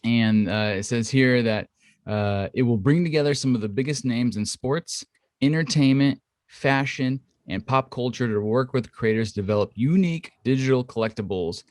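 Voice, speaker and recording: A man's voice: normal speed, no echo. Rambling man on a podcast, dry mic. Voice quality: thinks his voice is high-pitched. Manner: rambling, filler-heavy, explains at length. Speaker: podcast co-host. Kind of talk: casual podcast chat. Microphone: studio condenser mic at home.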